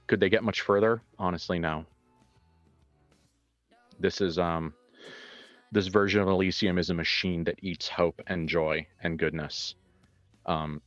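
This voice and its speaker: Male game master, deep register, casual reflective talk with halting emphasis, dull recording with soft music underneath. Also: no room sound. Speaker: game master and writer of an actual-play show. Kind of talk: casual reflective discussion, answering questions.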